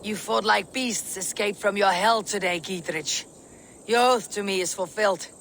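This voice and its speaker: A woman's voice: mid-range voice, normal speed, fairly bright tone, natural voice. Actress as blunt, distrustful Norse warrior woman, solemn game-cutscene delivery, slight background noise. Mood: grim, resolute. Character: hard, suspicious female Viking warrior. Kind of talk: video game cutscene acting.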